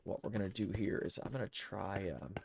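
An older man with a head cold talks casually from a home room through a headset mic, his voice sitting low. He rambles and thinks aloud, counting under his breath, while loud noise runs steadily underneath. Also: animated, a little fast, vocal fry. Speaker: streamer. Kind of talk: casual gameplay commentary.

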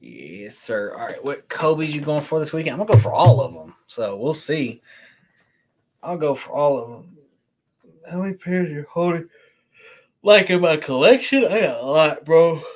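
A man's voice: fairly high pitch, normal speed. A man, hosting a sneaker livestream and chatting casually as he answers viewer comments. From Kentucky. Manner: relaxed, chatty, answers chat questions on the fly. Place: room with shelves of sneakers, indoors.

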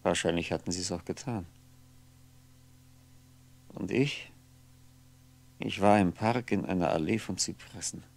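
A lonely man lost in his memories, thinking aloud in a 1960s radio drama. His voice is low and gravelly, with diction. He speaks in halting, introspective phrases, often correcting himself. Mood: reflective, absorbed. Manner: halting, introspective, self-correcting, deliberate articulation. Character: lonely, brooding man musing aloud over faded memories. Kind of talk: 1960s German radio play monologue.

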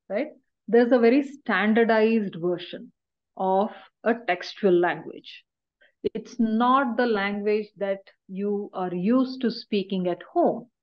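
A middle-aged woman teaches a university class online, speaking into a laptop webcam from a small room. She explains at a steady mid pitch and keeps checking in with her listeners. The audio is muffled and lo-fi, like a video call. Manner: explanatory, with frequent checking "right?" tags. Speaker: university lecturer.

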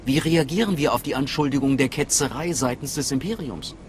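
A man's voice: medium pitch, fairly quick, a little hiss or hum, close to an everyday voice. A man playing an affable, shrewd major in a video game, with an informal, conversational manner. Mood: affable, conspiratorial. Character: affable, shrewd military major in secret order. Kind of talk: video game cutscene acting.

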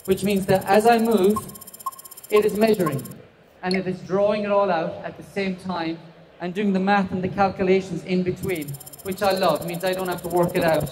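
A man's voice: sits high, fairly even intonation, moderate pace, noisy background. A middle-aged man from the west of Ireland with an Irish accent, chatty and self-deprecating, demonstrating a product live on a handheld mic in a large trade show hall. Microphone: handheld microphone.

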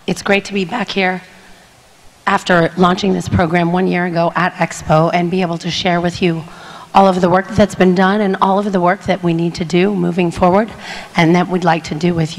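Husky woman presenter, low flat voice, handheld mic in a big hall. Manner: steady, earnest presenting. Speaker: panelist. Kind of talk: conference presentation. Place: conference hall stage. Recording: echoey conference hall.

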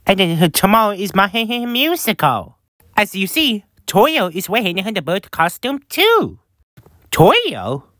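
Woman improvising fan video character lines, slow and halting, repeats herself, dry sound. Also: medium pitch, huge pitch swings, fairly bright tone, no room sound. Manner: halting, repetitive, stumbling over words. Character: excitable woman in a bird costume.

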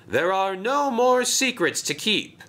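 A man's voice: sits high, big pitch swings, pushed and bright, pitched above the performer's own voice, heavily acted. A man doing a fussy, commanding railway boss. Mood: formal. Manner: formal, brisk. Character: stout fussy male railway director, commanding.

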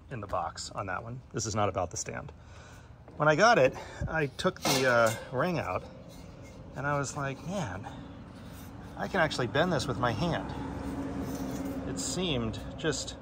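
A man's voice: mid-range voice, gravelly, fairly bright tone, a little hiss or hum. A man giving a casual, plain-spoken product review, pointing out defects while filming with a handheld phone on an outdoor deck. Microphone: phone camera in hand.

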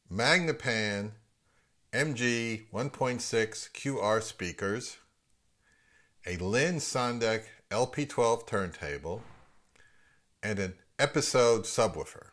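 A man's voice: lowish voice, fairly bright tone. A man in his fifties with an American accent, talking casually as the host of a vlog. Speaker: host of a hi-fi audio channel.

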